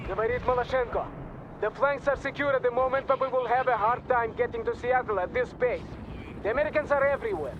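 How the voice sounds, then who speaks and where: An actor playing a hot-headed, impatient Soviet captain in a video game, urgent and clipped, with a Russian accent. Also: male voice, extremely high pitch, fast, lo-fi sound. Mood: frustrated, defiant. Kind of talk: scripted video game cutscene acting. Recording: loud battle and vehicle noise.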